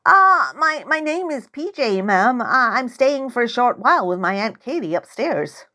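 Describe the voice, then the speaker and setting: A woman's voice: on the high side, very animated, normal speed, pushed and bright, pitched above the performer's own voice. A woman voicing a nervous, polite young boy who stammers a little in a storybook reading.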